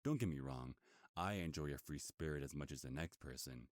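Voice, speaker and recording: Man playing a very deep, smug werewolf hunter. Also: lively intonation, no echo, clean studio sound. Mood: smug, explanatory. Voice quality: booming deep voice. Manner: smug, slow teasing menace. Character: cocky, taunting male werewolf bounty hunter. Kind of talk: ASMR roleplay acting.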